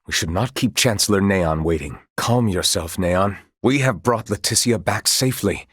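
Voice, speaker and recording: A noble royal knight commander from a video game, real low husky voice, formal old-timey courtly talk, dry close sound. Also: male voice, lively intonation, a bit bright, no echo. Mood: calm, formal. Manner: formal, archaic, measured courtly speech.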